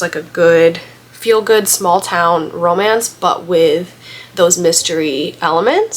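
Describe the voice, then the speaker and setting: A woman's voice: on the low side, slight background noise. A woman vlogger getting over a head cold and still congested, chatting casually in a rambling way with lots of filler words. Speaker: book vlogger. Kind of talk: casual vlog chat.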